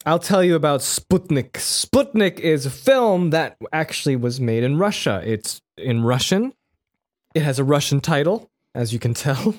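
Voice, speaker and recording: A man's voice: fairly high pitch, very animated, unhurried. A man co-hosting a casual film review chat, rambling along in a joking way and correcting himself as he goes. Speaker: podcast co-host.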